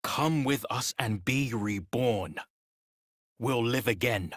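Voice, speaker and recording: A man's voice: mid-range voice, slow pace, fairly bright tone, dry recording, clean studio sound. An actor voicing a scornful, bitter male vampire spawn in a dramatic video game style, sneering in clipped sentences. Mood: grimly insistent.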